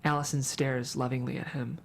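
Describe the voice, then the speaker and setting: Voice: mid-range voice, flat delivery, brisk, gravelly, a little hiss or hum. A narrator reading screenplay stage directions at a table read in a dramatic storytelling manner.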